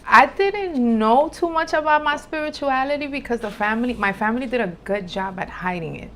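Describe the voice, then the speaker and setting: A woman's voice: sits high, brisk, a bit bright, slight background noise. Candid woman, informal. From Dominican Republic, later New York City. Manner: informal, emphatic repetition, mixes in Spanish. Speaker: podcast guest, spiritual entrepreneur. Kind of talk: candid personal storytelling, casual.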